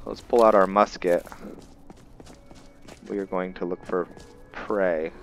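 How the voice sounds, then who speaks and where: A man's voice: deep voice, steady tone, takes it slowly, gravelly, faint music behind. A man giving casual video game commentary with some roleplay, rambling as he thinks aloud. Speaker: let's play host.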